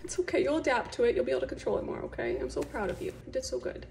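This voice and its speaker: A woman in her thirties chatting playfully and gently with her pets, asking indulgent questions in a home comedy skit. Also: high voice, rapid-fire, gravelly, slight background noise. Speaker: pet owner, video creator. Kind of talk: playful chat with pets in short comedy skits.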